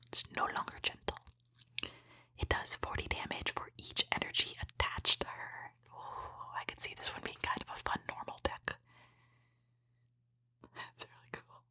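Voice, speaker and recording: A woman speaking in a breathy, unvoiced whisper, giving gentle, appreciative ASMR commentary as she hosts a trading card opening. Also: no echo.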